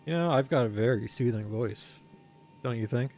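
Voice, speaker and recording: A man's voice: mid-range voice, a little mellow, a little hiss or hum. A man streaming a flight sim, explaining each step in casual commentary while thinking aloud and reading out numbers carefully. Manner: reads out numbers slowly and carefully.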